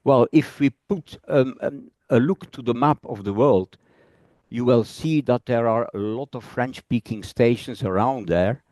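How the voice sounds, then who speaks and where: A man's voice: mid-range voice, unhurried, a little mellow, no echo. A Belgian man with a Flemish accent, a native Dutch speaker, giving a friendly presentation in English. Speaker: guest presenter. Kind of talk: presentation over video call.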